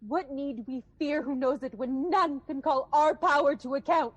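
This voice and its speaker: A woman's voice: sits high, fairly even intonation, normal speed, close to an everyday voice. A woman playing a guilt-racked, imperious sleepwalking noblewoman in a Shakespearean radio drama, muttering in fragments with sudden outbursts. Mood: haunted, agitated.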